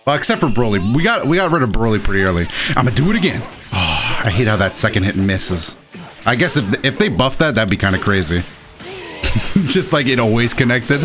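A man hosting a gaming video with casual, excited, exclamatory and self-deprecating play-by-play commentary. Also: fairly low pitch. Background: game music behind the voice.

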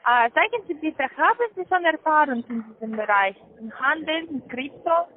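A woman with a foreign accent giving a sales pitch over the phone, in halting, careful non-native phrasing. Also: lively intonation, a little hiss or hum. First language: not German. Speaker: call centre account manager. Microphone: telephone. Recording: muffled narrow-band phone line.

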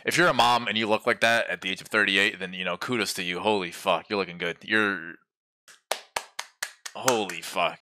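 A man's voice: low-pitched, fairly even intonation, normal speed, background noise. A young man in his twenties, a streamer chatting with strangers on a headset mic, brash and joking, swearing freely. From Brazilian American. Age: 20s. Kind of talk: casual video chat with strangers. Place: small bedroom.

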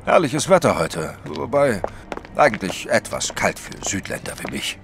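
An actor playing a wary, plain-spoken private detective in a German audio drama, firm and sceptical. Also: male voice, lowish voice, sing-song, moderate pace, vocal fry, noisy background. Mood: wry, casual.

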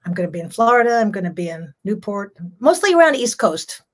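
A woman's voice: medium pitch, very animated, quick pace. An older woman talks in a loose, rambling way, laughing between thoughts. Her voice is strong and carries well. She is heard over a home video call. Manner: chatty, laughs often, rambling. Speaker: jazz singer, guest. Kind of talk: casual video-call interview. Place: home room.

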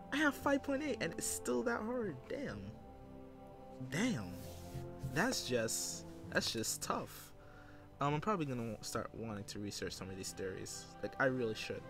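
A man giving casual let's play commentary, rambling and thinking aloud with casual swearing. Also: medium pitch. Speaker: gamer recording a let's play. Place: indoors at a computer.

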